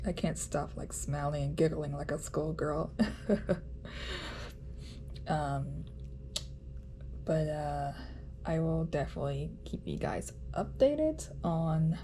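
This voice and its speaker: A woman giving a casual vlog update in a nasal voice, chatty and halting with frequent ums. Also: deep voice, warm tone, slight background noise. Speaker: vlogger.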